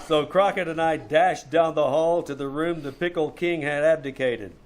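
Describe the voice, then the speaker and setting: High-voiced wisecracking radio private eye, played by a man. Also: moderate pace, slight background noise, lightly acted. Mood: wry narrating. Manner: wry, breezy first-person storytelling. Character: wisecracking, flirtatious male hard-boiled private detective narrating his case. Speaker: amateur radio troupe actor. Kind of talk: old-time radio detective drama. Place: small-town theater stage. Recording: live stage show with background music.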